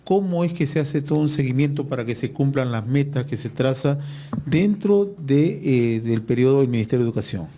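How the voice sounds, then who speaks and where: A man's voice: medium pitch, animated, fairly quick, warm tone, slight background noise. A male interviewer who asks probing follow-up questions and briefly acknowledges the guest's answers.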